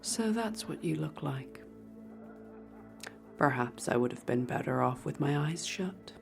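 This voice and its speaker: A woman voicing a weary, scornful woman with glowing red eyes in a fan audio drama, speaking in a husky whisper with cutting contempt. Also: low-pitched, animated, soft background music, full character voice. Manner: cutting, contemptuous, slow and deliberate.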